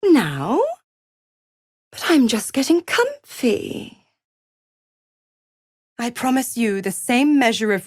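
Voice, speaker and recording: A woman's voice: fairly high pitch, dry recording, clean studio sound. An actress voicing a seductive, menacing female devil in a video game, theatrical and condescending, savoring each word as she taunts. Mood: mocking, playful, then cold, threatening. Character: smug, seductive, menacing female devil, cruel mistress of pacts.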